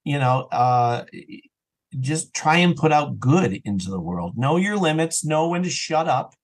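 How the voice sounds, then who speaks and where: A man with a fairly high voice talks as a guest in a casual podcast interview. He is conversational and opinionated, making his points with emphasis. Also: animated, unhurried. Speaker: interview guest, audio drama writer and actor.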